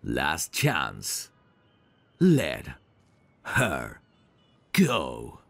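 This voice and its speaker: A man playing a protective, self-assured, flirtatious mafia heir in an ASMR roleplay, commanding and smooth-talking. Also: a bass voice, huge pitch swings, drawn-out words, fairly bright tone, no room sound, faint music behind, exaggerated voice.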